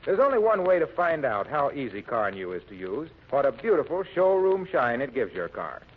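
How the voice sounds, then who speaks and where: A man's voice: medium pitch, very animated, normal speed, husky. An American man announcing and selling in polished 1940s radio style. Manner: polished salesman delivery. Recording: muffled, narrow-band 1940s radio recording.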